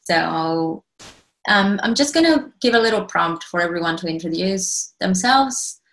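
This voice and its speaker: A woman in her thirties with an Argentine accent, giving a relaxed, thoughtful presentation over a video call. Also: lowish voice, measured pace. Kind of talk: casual online presentation.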